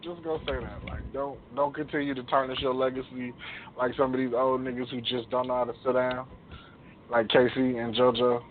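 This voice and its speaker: A man's voice: medium pitch, little pitch movement, brisk, a little hiss or hum. A man co-hosting a radio show in casual, opinionated banter, blunt and slangy, repeating phrases for emphasis. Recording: tinny, phone-like internet radio audio.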